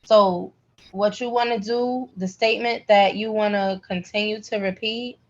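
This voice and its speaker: A woman's voice: medium pitch, steady tone, measured pace. Woman teaching energy healing class, blunt emphatic everyday talk, bit lo-fi recording. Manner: direct, emphatic, colloquial. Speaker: class instructor, pendulum energy healer. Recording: slightly dull, lo-fi call audio.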